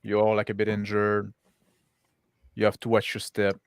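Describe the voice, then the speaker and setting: Halting male game master, dry close sound. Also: lowish voice, completely flat delivery, gravelly, clear, resonant tone, no echo. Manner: halting, improvised narration. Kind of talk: narrating a tabletop roleplaying game.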